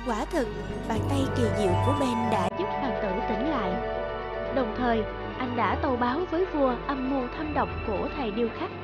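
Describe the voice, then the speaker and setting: A woman's voice: a little high, brisk. A woman narrating a scripted fairy tale as the storyteller. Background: loud background music.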